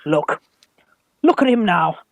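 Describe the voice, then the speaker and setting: Farce role: man playing an anxious British young gent, earnest, fretful, slightly high voice, dry sound. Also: very animated, takes it slowly, gravelly, no room sound, full character voice. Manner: worried, earnest. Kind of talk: Victorian stage farce, radio play acting.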